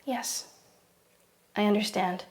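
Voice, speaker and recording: A woman playing a hurt but resolute young woman in an audio drama, at an ordinary pitch. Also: slow pace, darkish tone, a little hiss or hum, close to an everyday voice. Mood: pleading, determined. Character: wounded but resolute young woman confronting her abuser.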